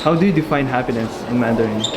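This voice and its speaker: A man hosting a street interview, firing off quick questions to strangers outdoors. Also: medium pitch. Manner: brisk, prompting. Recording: noisy street audio.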